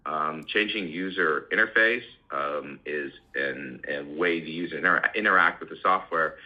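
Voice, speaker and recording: A man answering questions as an executive on an earnings call, halting and repeating words as he talks. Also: a bass voice. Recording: muffled conference call audio.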